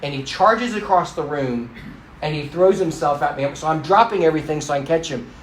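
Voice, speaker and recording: A man's voice: fairly high pitch, brisk, a little hiss or hum. Joking middle-aged man teaching a church class. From Thailand. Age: 50s. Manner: animated and joking, teasing the class. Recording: echoey room.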